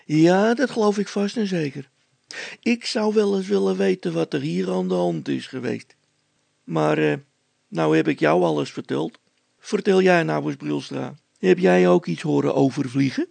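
A cheeky storybook poacher boy, acted out by a man, pitched well up high. He is boastful and defiant in a colloquial way, and the sound is close and dry. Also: moderate pace, vocal fry, darkish tone, no echo. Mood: boastful, confiding. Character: cocky, mocking young poacher boy.

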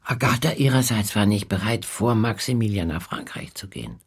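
An older actress playing an elderly former nanny who recalls the past in a reflective, deliberate radio drama monologue. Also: female voice, rumbling low, breathy edge, full character voice. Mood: wistful, grave. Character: elderly former nanny, wistfully recalling pre-war Prague.

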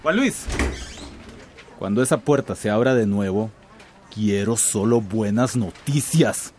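An arrogant boss, swooping delivery. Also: male voice, lowish voice, expressive, takes it slowly, heavy background noise. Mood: sarcastic. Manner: domineering, sarcastic. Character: arrogant, short-tempered company boss, scornful and scheming. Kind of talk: radio soap opera acting.

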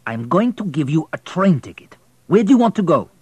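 A man reading an audiobook, voicing a stern, sneering small station master who gives orders in a raised, forceful voice. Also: on the high side, very animated, fairly quick, husky, no echo, voice pushed up. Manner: commanding, sneering.

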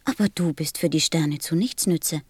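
A woman's voice: low voice, normal speed, a little mellow, breathy edge, no echo. A woman playing a curious, earnest young boy in a radio play, innocent and persistent in manner. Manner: innocent, insistent questioning.

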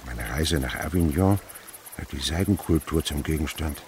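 An actor playing an excitable middle-aged scientist in a radio drama, proud and fatherly, muttering and repeating himself. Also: rumbling low, normal speed, slight background noise. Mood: astonished, agitated.

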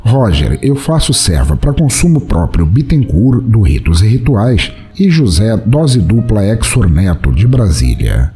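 Low, mellow Brazilian man with swooping intonation. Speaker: podcast host. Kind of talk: scripted podcast announcements.

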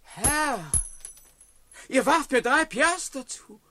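Ragged crippled beggar: very high, bright, wheedling and ominous, radio drama. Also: male voice, a little hiss or hum, heavily acted. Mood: pleading. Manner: slow, wheedling, imploring. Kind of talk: German radio play acting.

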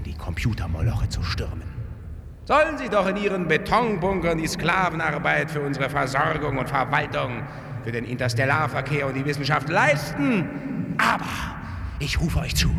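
Radio drama, male: impassioned rebel leader, gravelly, addressing followers in a big reverberant, noisy hall. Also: mid-range voice. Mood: hushed, intense. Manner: impassioned oratory, swinging from hushed to shouted. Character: fervent rebel musician-leader rallying his devotees. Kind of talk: German science-fiction radio drama speech. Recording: reverberant hall, loud background noise.

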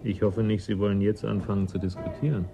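A man's voice: on the low side, level tone, fast talker, over music, lightly acted. An actor playing a blunt, distrustful working man in a radio drama, curt and sardonic in manner. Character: gruff, suspicious, blunt working man of a frozen settlement. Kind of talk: German radio play acting.

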